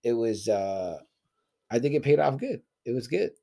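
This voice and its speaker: A man's voice: medium pitch, animated, moderate pace, gravelly, mellow. A man in his forties co-hosting a podcast, rambling casually with lots of filler words and swearing. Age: 40s. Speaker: podcast co-host. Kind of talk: casual chat.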